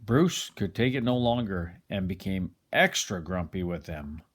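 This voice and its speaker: Older man, grandfatherly storybook narration, highly varied pitch, slow pace, dramatic pauses. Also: expressive. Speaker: grandfather reading a picture book aloud. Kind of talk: expressive children's storybook reading.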